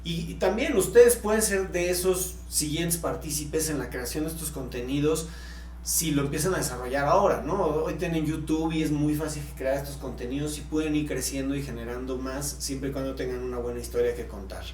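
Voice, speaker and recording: A man's voice: fairly high pitch, a little fast, darkish tone. A man with a Mexican accent co-hosting a podcast in a casual, conversational way. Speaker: podcast co-host. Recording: echoey room, faint background hum.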